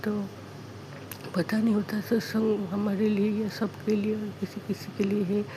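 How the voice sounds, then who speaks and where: Hoarse woman offering devotional thanks(गला ख़राब वाली महिला, भक्तिपूर्ण आभार). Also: mid-range voice, level tone, background noise. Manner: earnest, repetitive thanks, halting phrasing. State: sore throat, hoarse. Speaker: devotee sharing a testimony. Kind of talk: devotional testimony, prayerful thanks.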